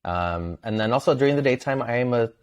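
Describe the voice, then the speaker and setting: A man who grew up in Venezuela with Spanish as his first language, talking casually as a podcast guest, soft-spoken and laughing between phrases. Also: mid-range voice, lively intonation, fast, smooth, dull audio. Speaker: guest, content creator. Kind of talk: casual interview conversation.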